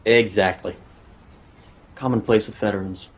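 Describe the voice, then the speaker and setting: An actor playing a jaded, irritable police detective in a fan-made drama dialogue. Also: male voice, on the low side, steady tone, a little slow. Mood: dry, matter-of-fact.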